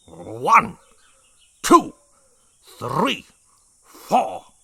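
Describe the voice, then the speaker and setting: A man's voice: on the high side, wildly swooping pitch, very slow, vocal fry, pushed and bright, slightly rough, dry recording, voice pushed up, full character voice. A man voicing a blustering, hot-tempered old male dinosaur brigadier who bellows and roars his orders. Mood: bellowing angry. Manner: bellowing, roaring.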